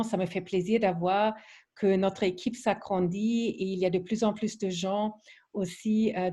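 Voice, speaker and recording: German-accented woman, slow and hesitant. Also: medium pitch, warm tone. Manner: hesitant, many fillers and restarts. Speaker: presenter of a training webinar. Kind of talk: online training presentation.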